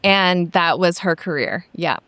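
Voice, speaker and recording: A woman's voice: on the low side, a little slow, fairly bright tone, no echo. A woman who grew up in Hawaii, a yoga teacher guest telling her story in an articulate, chatty way. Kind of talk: interview conversation.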